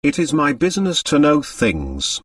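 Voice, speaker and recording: A man voicing a shrewd little foreign detective in an audiobook, mock modest and precise, murmuring softly. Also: a little high, huge pitch swings, unhurried, no echo, studio quality, distant mic, exaggerated voice. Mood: smug. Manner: soft murmuring, precise, complacent.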